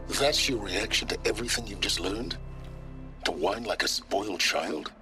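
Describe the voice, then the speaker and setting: Actor as a deep-voiced, arrogant scholarly man. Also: expressive, over music, natural voice. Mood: condescending. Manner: condescending, deliberate, pompous. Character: arrogant, impatient, cerebral older male researcher of ancient ruins. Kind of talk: dramatic video game acting.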